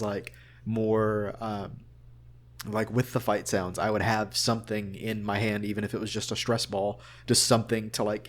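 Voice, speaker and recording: A man speaking as a voice actor in a casual interview, in an earnest, rambling way. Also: on the low side.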